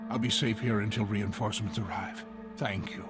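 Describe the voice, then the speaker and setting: An actor playing a frightened, tortured scientist in a video game, earnest and grave. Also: male voice, fairly low pitch, steady tone, moderate pace, close to an everyday voice. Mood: shaken, urgent. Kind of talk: dramatic video game voice acting. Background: music behind the voice.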